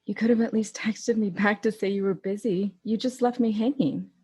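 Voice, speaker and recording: A woman's voice: mid-range voice, fairly even intonation, moderate pace, warm tone, slightly rough. A woman acting in an audio drama as a persistent young mother who wants her ex back, speaking insistently and intimately. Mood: hurt. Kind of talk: naturalistic scripted audio drama. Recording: music playing under the voice.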